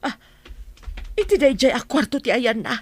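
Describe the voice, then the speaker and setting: A woman's voice: on the high side, expressive, normal speed, a little mellow, natural voice. A woman playing an anxious, protective middle-aged mother in an emotional radio drama, fretting over her child. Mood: anxious, tender. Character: anxious, protective mother of a sick grown daughter. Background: music.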